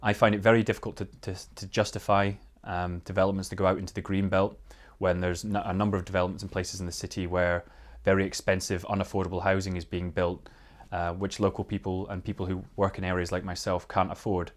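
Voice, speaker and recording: A man's voice: deep voice, flat delivery, quick pace, hardly any pauses. A man giving an earnest political answer as a candidate, sometimes stumbling and restarting. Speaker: parliamentary candidate, NHS worker. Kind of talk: hustings answer, political speech.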